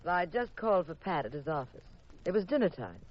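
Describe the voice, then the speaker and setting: A woman's voice: on the low side, very animated, fast talker. A muffled old radio recording of a detective's wife. She is witty and sociable, speaking in the polished dramatic style of 1950s radio. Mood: wry.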